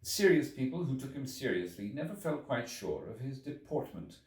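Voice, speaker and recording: Male audiobook narrator: theatrical, measured literary reading, very varied intonation, recorded in an echoey room. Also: mid-range voice, big pitch swings. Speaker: audiobook reader. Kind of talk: expressive scripted literary narration. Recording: echoey room, distant from the mic.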